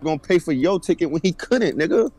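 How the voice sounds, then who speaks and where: A man's voice: fairly high pitch, animated, fairly quick, a little mellow. A man in a heated voice-chat argument, taunting and jeering repetitively. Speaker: call participant.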